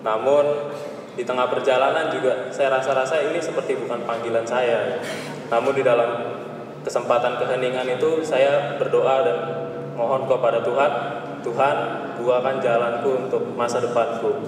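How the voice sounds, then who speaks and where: A young man, a seminarian, giving a reflective, halting testimony about his calling at Mass. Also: medium pitch, steady tone, background noise. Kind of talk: personal vocation testimony at Mass. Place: large church. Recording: echoey church from far off.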